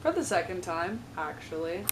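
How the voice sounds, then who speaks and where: A young woman, a college student, talking casually in a vlog, a bit raspy from a sore throat and filling her speech with "like" and "um". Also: lowish voice, sing-song, moderate pace. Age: young adult. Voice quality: chatty, lots of "like" and "um". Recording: slightly distant mic with faint noise.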